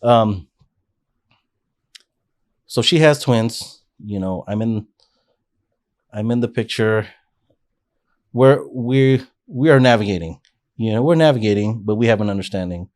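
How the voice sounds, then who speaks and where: A man in his forties with a fairly low voice talks through his own story on a podcast. He is earnest and rambling, often restarting sentences as he thinks. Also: unhurried, pauses often, darkish tone. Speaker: podcast guest. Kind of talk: casual life-story interview.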